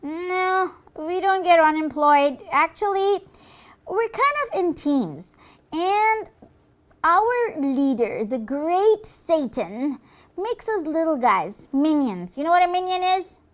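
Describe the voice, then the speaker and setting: A cocky little devil minion from a puppet show, acted out by a woman, high and wildly swooping in pitch. It taunts and brags, dismissive of everyone, stretching out its words with relish. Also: very animated, slow pace, exaggerated voice. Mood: boastful. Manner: mocking, dismissive, gleefully boastful.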